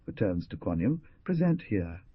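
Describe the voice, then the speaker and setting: A man voicing a calm, kindly hunter who explains things in a measured way. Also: low voice, slightly rough, no echo, heavily acted. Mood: explaining. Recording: dull lo-fi recording.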